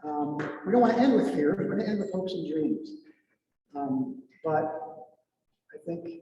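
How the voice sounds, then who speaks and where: Guy running a group meeting, high gravelly voice, halting, lots of echo, faint room hum. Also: animated, dull audio. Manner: halting, gently guiding the group. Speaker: meeting facilitator. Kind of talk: public meeting discussion. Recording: echoey hall, voice from far off.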